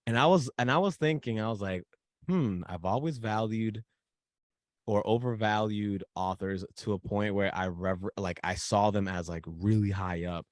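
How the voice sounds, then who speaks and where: A man from the Dominican Republic, a guest author in a casual podcast interview, telling a story with excitement and repeating himself as he goes. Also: fairly low pitch, moderate pace, dry recording, clean studio sound. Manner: animated storyteller, repeats and restarts when excited.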